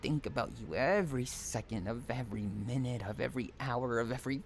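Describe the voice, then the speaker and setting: A man's voice: medium pitch, a little fast, slight background noise, natural voice. A man acting an obsessive, unhinged stalker in an audio roleplay, cooing pet names and drawing out words between tenderness and anguish. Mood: anguished, frantic. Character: obsessive, unhinged male stalker lover, sweetly possessive and menacing. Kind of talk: dramatic roleplay audio monologue.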